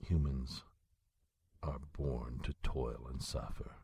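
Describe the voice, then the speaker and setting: An actor playing an ancient, haughty, menacing male vampire lord in an audio drama, with a breathy rasp and a pompous, deliberate manner. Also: extremely low pitch, steady tone, mellow, no echo, exaggerated voice. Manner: slow, pompous, coldly deliberate.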